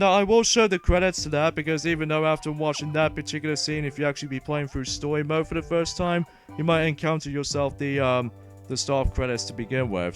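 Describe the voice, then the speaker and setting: A man giving casual, rambling, halting game commentary as a let's play host. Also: on the high side. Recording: game music behind. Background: video game music.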